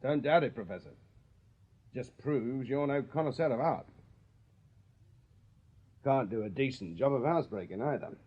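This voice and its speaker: An actor playing a shrewd Victorian police sergeant in a radio drama, English, clipped and plain-spoken with a drily insolent deference. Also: male voice, mid-range voice, expressive, normal speed, pauses often, husky. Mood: knowing, accusing. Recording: slightly dull radio recording.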